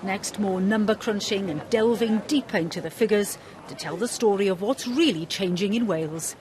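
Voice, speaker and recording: A woman reporting a broadcast news story in a measured, clear voice. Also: mid-range voice, darkish tone, slight background noise.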